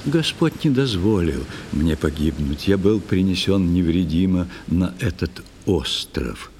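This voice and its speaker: An actor playing a pious, excitable old priest recounting in verse in an audio play. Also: male voice, deep voice, measured pace, a little hiss or hum. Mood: agitated, grateful.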